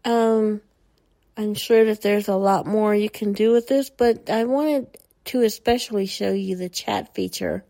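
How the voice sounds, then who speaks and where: Woman presenter, unhurried, mellow low-bright tone, terse commands. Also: vocal fry. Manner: short spoken commands, relaxed explaining. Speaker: presenter demonstrating a smart speaker. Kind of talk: casual demo walkthrough.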